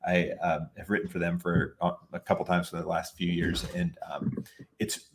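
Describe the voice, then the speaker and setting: A man's voice: low-pitched, completely flat delivery, moderate pace, slight background noise. A man speaking as a guest on an online panel, giving casual advice in a chatty way full of "like" and restarts, over a video call. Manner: chatty, many "like" fillers and restarts.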